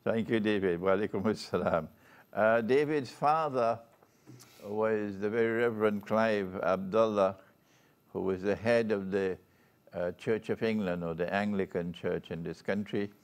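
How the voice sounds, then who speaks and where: An older man with a Trinidadian accent hosts an interview show, speaking in a formal, measured and deliberate manner. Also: fairly low pitch. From Trinidad and Tobago. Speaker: talk show host. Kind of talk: formal guest introduction and interview.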